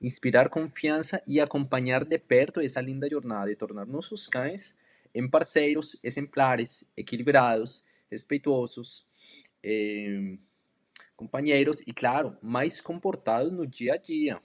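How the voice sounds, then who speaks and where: A man from Colombia with a Spanish-tinged accent introduces himself and his work as a dog educator, speaking in a measured way with hesitant pauses and drawn-out words. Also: mid-range voice. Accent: Colombian Spanish accent in Portuguese. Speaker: dog trainer and canine educator. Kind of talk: self-introduction talk to a community.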